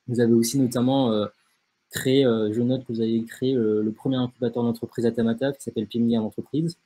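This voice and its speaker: Mellow-voiced man hosting a panel. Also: medium pitch, fairly even intonation, poor audio. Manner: halting, frequent fillers and restarts. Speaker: host, moderator. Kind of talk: introducing a panel.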